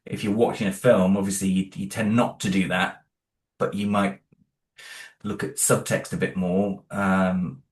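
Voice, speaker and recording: A low-voiced man talks through his creative choices in a relaxed interview, stopping and restarting his sentences as he thinks. The room around him rings with noticeable echo. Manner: thoughtful, halting, many restarts and "you know". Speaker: creator of an audio drama, interview guest. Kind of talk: casual interview talk.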